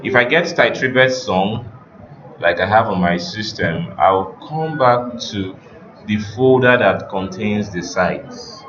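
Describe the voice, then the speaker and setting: A man giving an informal, step-by-step software tutorial as an instructor, repeating phrases as he explains. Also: on the low side, lively intonation, slow, noisy background. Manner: repetitive step-by-step explaining, checking understanding. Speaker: web development instructor. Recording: roomy, dull audio with music behind.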